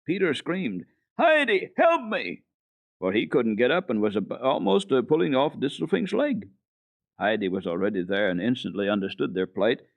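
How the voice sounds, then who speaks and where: A man giving a dramatic reading of a children's book for a radio program, in a lively, expressive storytelling manner. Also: mid-range voice, no room sound.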